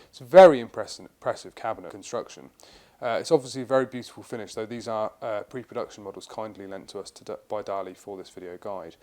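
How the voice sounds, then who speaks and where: A man's voice: fairly low pitch, darkish tone. A man presenting a retailer's product video guide, informative and enthusiastic, with occasional fillers and restarts. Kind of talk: product review narration.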